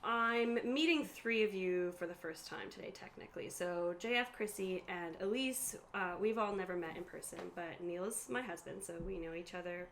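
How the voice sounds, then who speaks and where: A woman's voice: fairly low pitch, normal speed, few pauses, low-quality recording. Woman game master getting over a cold. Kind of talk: explaining a tabletop game to players. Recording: faint room noise.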